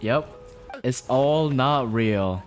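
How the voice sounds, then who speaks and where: A man's voice: medium pitch, extremely slow. A man live streaming a game, reacting casually in slangy short bursts. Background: game music.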